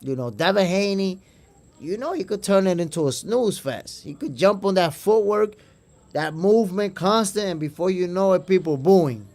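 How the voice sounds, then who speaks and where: Dominican man, New York accent, boxing stream host, chatty, fairly high voice. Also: lively intonation, normal speed, a little mellow. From Dominican Republic. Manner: chatty, calls viewers "my brother", repeats words. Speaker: boxing podcast host reading live chat. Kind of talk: casual livestream commentary.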